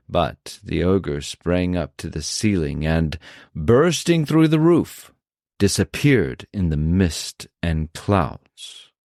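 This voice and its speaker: A man's voice: lowish voice, darkish tone, dry recording, clean studio sound. A man reading a fairy tale aloud as a storyteller, with dramatic scripted narration.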